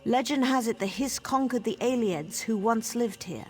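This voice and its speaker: A woman playing a knowing town guide in a video game, measured, informative and a little wry. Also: fairly high pitch, darkish tone, soft background music, lightly acted. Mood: composed, wry. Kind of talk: video game character exposition.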